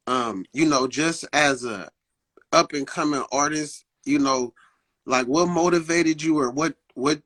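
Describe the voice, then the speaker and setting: A man hosting a casual interview in slangy speech, haltingly repeating phrases as he builds his questions. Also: mid-range voice, a bit bright, poor audio. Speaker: interviewer, host.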